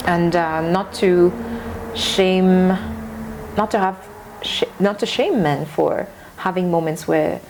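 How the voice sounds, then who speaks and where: A woman's voice: lowish voice, takes it slowly, darkish tone, a little hiss or hum, low-quality recording. A woman speaking as a guest in a conversational discussion, thoughtful and earnest. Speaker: podcast guest.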